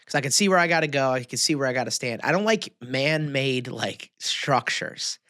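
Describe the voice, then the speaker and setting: A man's voice: medium pitch, animated, normal speed, sharp tone, dry recording, studio quality. An American man co-hosting a podcast, chatting casually and emphatically, often repeating himself for stress. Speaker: podcast co-host.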